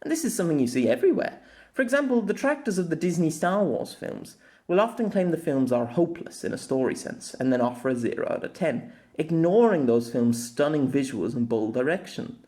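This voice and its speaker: A man narrating a scripted opinion essay as host, in deliberate, formal phrasing with colloquial asides. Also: on the high side, big pitch swings, darkish tone. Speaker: host of an anime audio essay.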